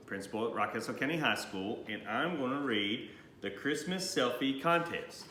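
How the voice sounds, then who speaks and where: A man reading a rhyming children's storybook aloud in an expressive, animated way. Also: mid-range voice, moderate pace. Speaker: high school principal reading a picture book aloud. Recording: roomy echo, faint background noise.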